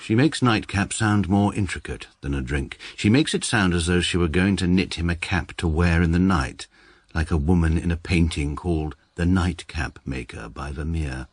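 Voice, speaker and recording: An actor with an English accent playing a stiff, precise barrister who narrates a radio comedy. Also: male voice, low-pitched, moderate pace, husky, dry recording. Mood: dry. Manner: dry, precise, deadpan. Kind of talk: radio drama acting.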